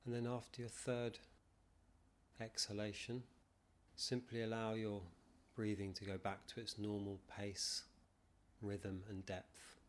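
A man leading a guided meditation as a teacher, calm and measured, leaving long pauses. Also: on the low side. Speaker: meditation teacher, podcast guest. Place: non-soundproofed room.

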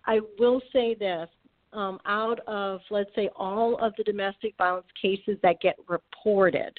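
Woman expert on a phone-thin line. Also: medium pitch. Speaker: guest expert on domestic violence. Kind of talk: radio interview. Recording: phone-like narrow band.

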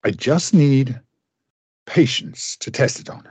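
A man acts out a driven scientist in a radio drama. His mid-range voice is rough and husky, with intense, grandiose, formal delivery. It is recorded very dry. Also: mid-range voice, expressive, slow pace, a little mellow, dry recording, exaggerated voice. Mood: fervent, persuasive. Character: obsessive, idealistic male scientist with a vengeful streak. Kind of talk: radio play acting.

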